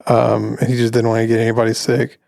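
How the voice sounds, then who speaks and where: A man in his late twenties co-hosting a casual podcast, telling stories in a relaxed, rambling way. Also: medium pitch, fast talker, gravelly, no echo, clean studio sound. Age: 20s. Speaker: podcast co-host. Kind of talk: casual chat.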